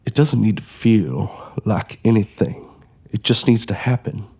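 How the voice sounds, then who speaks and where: A man's voice: lowish voice, unhurried, warm tone, slightly rough. A man playing a bitter, desperate ex-convict father who talks in terse, blunt lines in an audio drama. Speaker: former criminal and father. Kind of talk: amateur audio drama acting.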